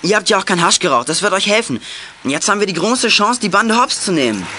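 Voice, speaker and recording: A man's voice: sits high, sing-song, fast talker, noisy background. A young male actor playing an earnest, eager teenage boy detective in a German children's audio drama. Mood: confident.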